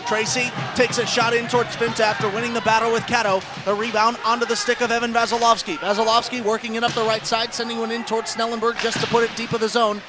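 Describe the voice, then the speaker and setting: High-voiced man calling hockey play-by-play. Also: fast talker, few pauses, over music. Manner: excitable, raises voice to a shout on chances and goals. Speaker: play-by-play announcer. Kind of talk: live ice hockey radio commentary. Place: ice hockey rink.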